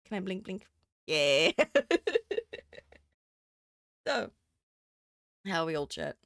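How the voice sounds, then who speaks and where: A woman's voice: sits low, drawn-out words, gravelly, fairly bright tone, dry recording, clean studio sound. A woman streaming live, chatting casually with many fillers and giggles, a bit sniffly from a cold. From Southern Hemisphere. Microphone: desk microphone.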